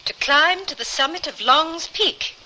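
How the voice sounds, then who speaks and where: A woman's voice: high-pitched, lively intonation, a little slow, pushed and bright, slight background noise, heavily acted. An actress playing a refined, adventurous English lady traveller in an old-time radio drama, articulate and self-possessed. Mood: determined. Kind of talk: old-time radio drama acting with first-person narration.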